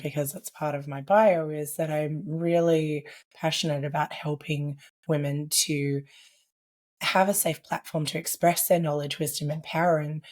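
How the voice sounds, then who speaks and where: A woman talks as a podcast guest, her voice low and worn hoarse by laryngitis. She speaks earnestly and reflectively, circling her thoughts with casual fillers. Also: a little mellow. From Australia. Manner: earnest, reflective, with frequent "like" and "I guess". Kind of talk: conversational podcast interview.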